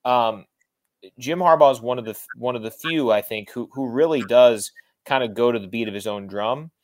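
American male sports broadcaster, mid-pitched, analytical guest talk, emphatic and conversational. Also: moderate pace, a little mellow. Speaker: sports radio play-by-play broadcaster, interview guest.

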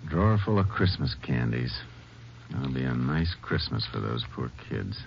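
An American actor playing a calm, courteous middle-aged Texas Ranger investigator in a 1950s western radio drama, with a measured, laconic way of talking. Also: male voice, very deep voice, creaky, exaggerated voice. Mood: grave, businesslike. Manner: measured, laconic, polite questioning. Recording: muffled, noisy 1950s radio recording.

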